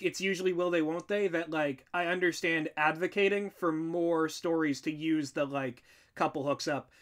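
Bright, high-voiced man chatting casually. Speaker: co-host, audio drama writer. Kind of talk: casual commentary chat.

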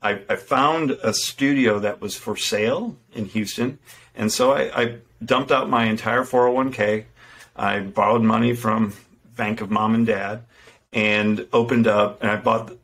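Gravelly-voiced man telling his business story. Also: lowish voice, fairly bright tone. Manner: earnest, self-deprecating, frequent restarts. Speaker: podcast guest, fitness entrepreneur. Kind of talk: casual storytelling interview. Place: home room with window, indoors. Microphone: studio microphone in front.

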